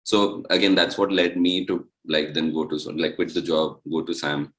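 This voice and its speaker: Young male musician, deep, casual storyteller. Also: flat delivery, moderate pace, fairly bright tone. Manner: loose, rambling storytelling. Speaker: musician guest. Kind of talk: casual interview chat.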